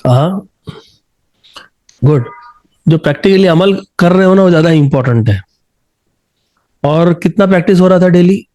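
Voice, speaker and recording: A man's voice: high voice, moderate pace, pauses often, darkish tone, low-quality recording. A man with an Indian accent speaking as an encouraging singing coach in a conversational interview, mixing in English phrases.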